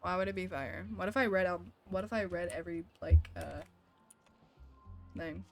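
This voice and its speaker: A woman's voice: on the low side, normal speed, a little mellow, faint music behind. A woman live-streaming a video game with casual commentary, playfully sarcastic as she talks back to chat and game.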